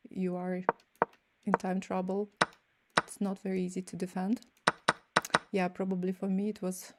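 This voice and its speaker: Woman with a russian accent chatting through fast chess games, bit of gravel in her voice. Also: mid-range voice, heavy background noise. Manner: thinks aloud while playing fast games. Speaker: chess streamer and coach. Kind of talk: casual live-stream commentary.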